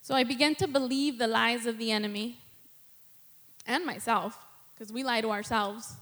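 A woman's voice: on the high side, fairly even intonation, moderate pace, a bit bright. A middle-aged woman giving a candid personal testimony sermon from the pulpit, nervous and laughing between thoughts. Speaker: guest preacher.

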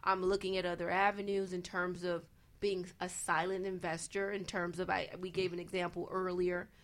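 A woman's voice: lowish voice, little pitch movement, normal speed, a bit bright. A woman speaking conversationally as a guest on a panel about investing, emphatic and repeating phrases for emphasis.

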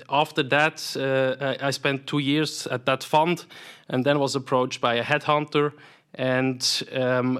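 A man in his thirties with a Swiss accent, answering interview questions about his career in a halting way, full of fillers and restarts. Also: mid-range voice, a bit bright. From Switzerland. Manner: hesitant, many fillers and repeated words. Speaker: private equity investment professional, guest.

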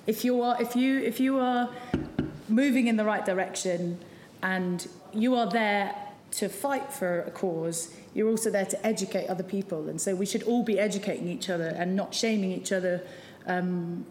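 A woman's voice: medium pitch, normal speed, darkish tone. Hesitant woman speaking in a roomy hall. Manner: hesitant, many restarts and ums. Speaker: campaign speaker. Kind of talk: hesitant speech to a live audience. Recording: roomy hall with long reverb, faint noise.

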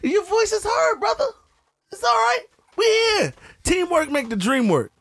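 High lively teasing man, laughing often. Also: unhurried, creaky, no echo. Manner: laughs often, teasing, swings from low to loud. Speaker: podcast co-host. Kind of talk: casual chat.

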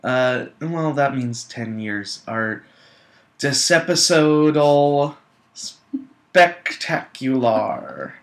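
A young man chatting casually as a co-host, with a voice of middling pitch. He wanders off into tangents, pokes fun at himself and laughs easily as he goes. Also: big pitch swings, drawn-out words, gravelly, fairly bright tone. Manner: rambling, self-deprecating, laughs often. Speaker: podcast co-host. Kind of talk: casual chat.

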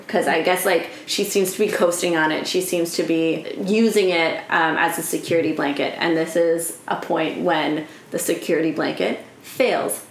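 A woman's voice: lowish voice, fairly bright tone. A woman co-hosting a casual podcast chat, explaining things with lots of "like" and "I think". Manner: hedging, filler-heavy explainer. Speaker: podcast co-host. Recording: roomy echo.

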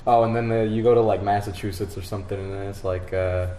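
A man's voice: on the low side, quick pace, reverberant, a little hiss or hum. A man from New York with a slight Brooklyn accent, co-hosting a casual podcast chat and acting out the people in his stories. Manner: animated storyteller, acts out quoted people. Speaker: podcast co-host.

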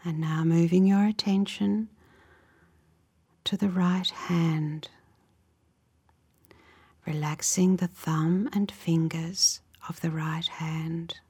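A woman leading a guided relaxation meditation in a soft, breathy voice, giving gentle, soothing instructions in short, measured phrases. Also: fairly low pitch, fairly even intonation. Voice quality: soft, breathy, often near a whisper.

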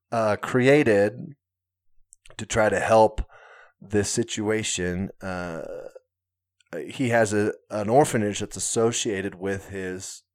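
Slow, low-voiced man, halting and casual. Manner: hesitant, many fillers and restarts; self-mocking humor. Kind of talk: casual conversation.

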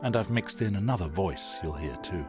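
A man's voice: low voice, lively intonation, normal speed. A man hosting a radio show, giving scripted narration to introduce an audio documentary. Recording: music behind the voice. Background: music.

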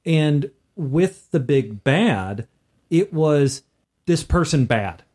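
Male gaming podcast co-host, voice a bit higher than average, conversational delivery. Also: animated, drawn-out words, a little mellow. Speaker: podcast co-host and tabletop gamer. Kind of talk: casual discussion of tabletop gaming.

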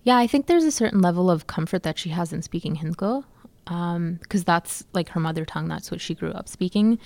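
Young woman filmmaker, low and gravelly, hesitant interviewee. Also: no room sound. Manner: soft-spoken, hesitant with many fillers. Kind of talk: casual interview answers.

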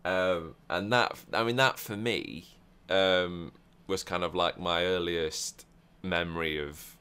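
A man's voice: low voice, steady tone, slow pace, bright, forceful tone, clear, resonant tone. A man hosting a roleplay podcast, chatting casually and rambling with many fillers and restarts. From Europe. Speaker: podcast host.

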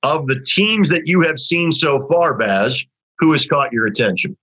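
Man, sports talk host, slightly raspy and husky, bright forceful voice, rambling casual delivery, dull lo-fi audio. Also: a little high, expressive, moderate pace. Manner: conversational, rambling with restarts and fillers. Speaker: sports broadcaster hosting a high school basketball talk segment.

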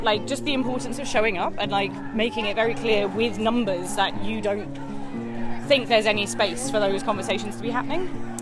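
A woman interviewed casually as a protester while walking down a city street, starting hesitantly and leaning on filler words. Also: mid-range voice.

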